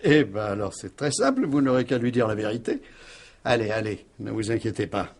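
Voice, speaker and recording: Wry, evasive husband in a French radio whodunit. Husky, animated, unruffled irony. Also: male voice, on the low side, brisk. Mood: brisk, reassuring. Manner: ironic, nonchalant, evasive. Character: wry, unruffled husband suspected over his missing wife. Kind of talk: French radio mystery play acting.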